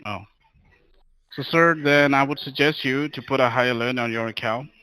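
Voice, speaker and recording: Bright-voiced, polite call-center man. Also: mid-range voice, steady tone, normal speed, clean tone, dry recording, rough recording. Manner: polite, formal, procedural. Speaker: phone scammer posing as bank fraud agent. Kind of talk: scripted call-center talk.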